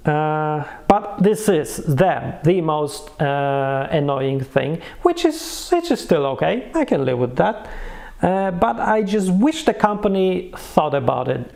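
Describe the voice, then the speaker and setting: A man's voice: a little high, creaky, a little mellow. A man who is a non-native English speaker gives a casual, unscripted product review, searching for words and correcting himself as he goes. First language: not English.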